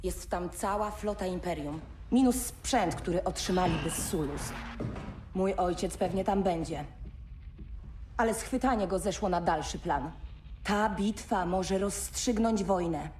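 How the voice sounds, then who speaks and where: A woman's voice: fairly low pitch, measured pace, natural voice. An actress playing a determined female military commander in a video game, terse and cool under fire. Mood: grave, measured. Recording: loud engine and battle noise.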